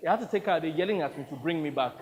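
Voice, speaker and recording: A man from Nigeria narrating a first-person audiobook in a wry, lively storytelling manner. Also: a little high, racing, big-room sound.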